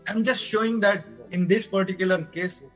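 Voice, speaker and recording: A man with an Indian accent giving a technical lecture as a presenter, explaining deliberately step by step in short phrases. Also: high-pitched, fairly even intonation, soft background music, poor audio. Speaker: lecturer presenting own engineering research.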